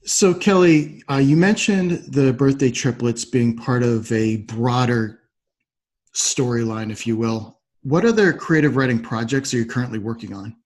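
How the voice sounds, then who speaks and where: A man hosts a podcast interview in a medium-pitched voice. His phrasing is polite and measured, with the structured delivery of someone guiding a guest through questions. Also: a little mellow. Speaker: podcast host.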